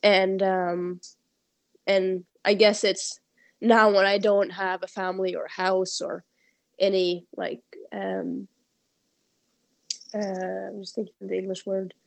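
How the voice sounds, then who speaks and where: Slow, hesitant young woman on a video call. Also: medium pitch, level tone, creaky, no echo. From Norway. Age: 20s. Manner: hesitant, searching for English words, laughs shyly. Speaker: interviewee, sled dog musher. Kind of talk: remote interview conversation.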